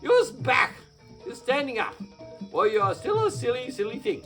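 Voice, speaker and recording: A greedy little hoofed creature from a bedtime story squeals and teases in a high, swooping voice, as a man puts it on. Each line leaps up and down in pitch. Faint music hums behind. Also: normal speed, exaggerated voice. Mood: cheeky. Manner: high excited squeals, teasing. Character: cheeky greedy creature with hooves.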